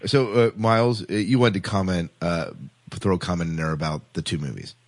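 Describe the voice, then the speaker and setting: A man hosting a casual podcast discussion, speaking a little haltingly and repeating words. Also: sits low, fairly even intonation. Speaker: podcast host.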